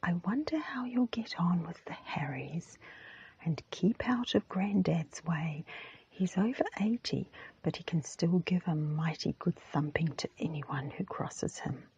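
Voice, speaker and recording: A woman voicing a brisk, plump village shopkeeper mother in a storybook, in a breathy whisper. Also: fairly low pitch, animated, a little mellow, lo-fi sound, a little hiss or hum. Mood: curious, warning.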